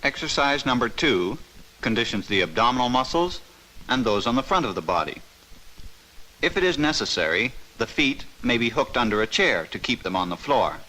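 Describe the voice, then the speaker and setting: A man giving a formal, measured, scripted narration in the style of a 1950s instructional film. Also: medium pitch, lively intonation, sharp tone, low-quality recording.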